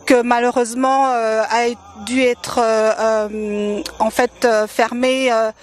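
A woman's voice: a little high, flat delivery, slow pace. A woman from Congo in her thirties, answering interview questions with many hesitations and frequent laughs, speaking into a handheld mic held by the interviewer. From Democratic Republic of the Congo. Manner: hesitant, many fillers, warm laughs between phrases. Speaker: interview guest, event organizer. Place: decorated holiday light display, indoors.

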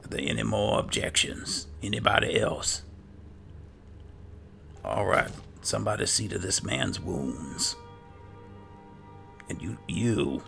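Deep gravelly man, halting. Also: lively intonation, a bit bright. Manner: halting, repeats himself. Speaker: gamer roleplaying a video game character. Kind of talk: improvised in-character roleplay commentary. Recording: game music under the voice.

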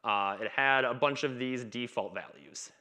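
A man's voice: mid-range voice, normal speed, pushed and bright. A man in his thirties giving a conference talk on stage in a casual, chatty way, with stutters, repeats and blocks. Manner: casual chit-chat delivery. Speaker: conference speaker. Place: dark stage in a conference hall.

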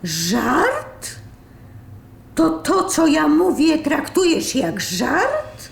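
Woman acting a high-pitched, sardonic, fed-up girlfriend. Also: huge pitch swings, vocal fry, full character voice. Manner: sardonic, brisk. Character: sharp, sarcastic, fed-up woman, live-in partner. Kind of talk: radio drama acting. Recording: roomy sound with faint noise.

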